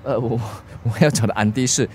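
A man's voice: mid-range voice, steady tone, fairly quick, darkish tone, a little hiss or hum, natural voice. A male actor in a radio drama playing a proud, easily flustered young driver with a Singaporean accent, blustering and stammering. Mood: flustered, nervous. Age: young adult.

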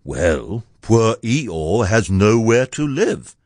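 An amiable, slow-witted storybook bear, played by a man reading aloud, with a lively, swinging melody to his speech. He sounds simple, earnest and a little ponderous, recorded close and dry. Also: on the low side, no echo, heavily acted. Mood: thoughtful. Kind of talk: children's storybook character reading.

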